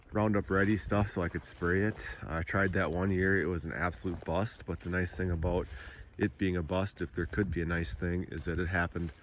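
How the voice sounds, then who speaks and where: A sick man whose voice sounds nasal, giving a relaxed, rambling narrated tour as a landowner. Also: low-pitched, a little hiss or hum. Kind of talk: casual narrated drone tour.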